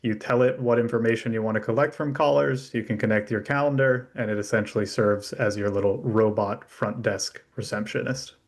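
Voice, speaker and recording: A man's voice: fairly low pitch, steady tone, fairly quick, few pauses. A younger American man from Florida, talking casually as an interview guest and startup founder. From Florida, USA.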